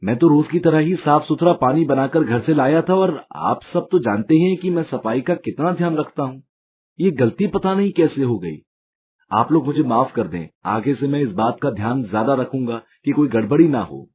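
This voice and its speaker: A man voicing an honest, wronged street-food seller in a moral story, speaking earnestly with a husky voice. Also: medium pitch, lively intonation, normal speed, darkish tone. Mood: dismayed, apologetic. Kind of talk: dramatised moral story acting.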